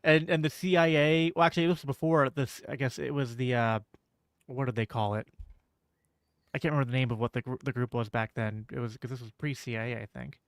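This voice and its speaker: Man, smooth resonant voice, dry sound, halting casual podcast host. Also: mid-range voice, steady tone, dry recording. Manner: halting, many fillers and restarts, laughs easily.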